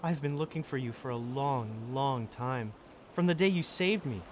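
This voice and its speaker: A man's voice: mid-range voice, normal speed, slight background noise, lightly acted. A man playing a gallant, lovestruck prince in an audio drama, with an earnest, courtly manner. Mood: tender, adoring. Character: lovestruck gallant fairy-tale prince, adult male.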